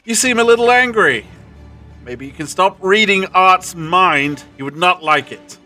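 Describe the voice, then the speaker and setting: Guy acting commanding time traveller hero, audio drama, bright sharp voice, faint music behind. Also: high-pitched, lively intonation, unhurried, full character voice. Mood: confronting.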